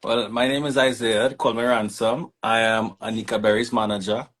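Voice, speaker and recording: A man's voice: on the low side, flat delivery, moderate pace, fairly bright tone. A man from Trinidad and Tobago with a Trinidadian accent, an artist manager telling his story in a laid-back, easygoing way. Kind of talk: casual interview chat.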